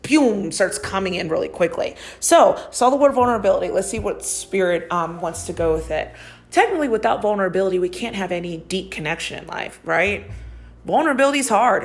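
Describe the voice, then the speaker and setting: A woman in her thirties hosting a solo podcast, rambling casually with frequent swearing and laughter, her nose stuffed up and slightly nasal. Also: mid-range voice. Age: 30s. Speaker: podcast host and psychic medium.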